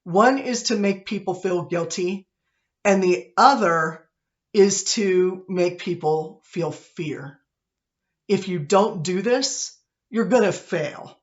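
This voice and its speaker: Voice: mid-range voice, slow pace, dull audio. A college communication instructor giving a recorded online lecture from an office, chatty and self-deprecating, often checking in with "right?". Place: own office, indoors. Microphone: computer webcam set above eye level.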